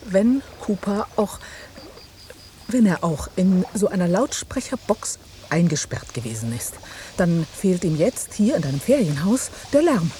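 An actress playing a challenging, teasing biologist in a German radio play, with pointed and deliberate phrasing. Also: female voice, on the low side, sing-song, mellow, a little hiss or hum. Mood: insistent. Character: teasing, challenging female biologist, old friend of journalist.

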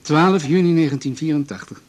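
An actor playing a correct, fussy notary in a 1980s radio drama, speaking in a formal, measured and slightly pedantic way. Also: male voice, a little high, a little mellow, lightly acted. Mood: formal, unruffled.

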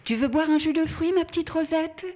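A woman's voice: high-pitched, brisk, a little mellow, lightly acted. A woman playing an older, fussy grandmother in an audio drama, effusive and cooing as she chatters to her family.

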